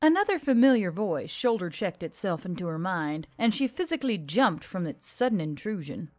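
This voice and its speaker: A woman's voice: lowish voice, very animated, moderate pace, a little mellow. A woman reading scripted fiction as a story narrator.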